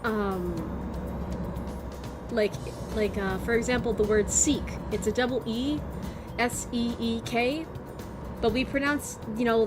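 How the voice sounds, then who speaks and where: A chatty American woman streaming a game, her voice strained and worn out from overuse. She sits a little high for a woman and rambles along with plenty of fillers, with clear game music playing behind her. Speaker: vtuber streamer. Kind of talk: casual chat while gaming.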